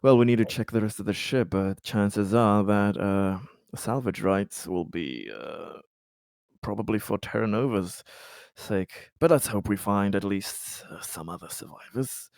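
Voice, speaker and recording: A man's voice: lowish voice, measured pace, creaky, darkish tone, dry recording, clean studio sound. A man chatting as a player in a tabletop game, hesitant and thinking aloud. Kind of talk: casual in-game chat.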